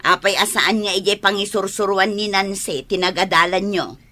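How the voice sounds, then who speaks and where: A woman's voice: medium pitch, normal speed, pushed and bright, close to an everyday voice. A woman with an Ilocano Filipino accent playing a resentful, gossipy neighbour in a radio drama, complaining sharply. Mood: prying.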